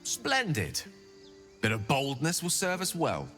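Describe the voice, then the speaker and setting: An actor playing a confident, flamboyant adventurer in a video game, speaking in an assured, articulate, theatrical way. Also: male voice, a little high, huge pitch swings, takes it slowly, fairly bright tone, faint music behind, full character voice. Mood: pleased, assured. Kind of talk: video game character acting.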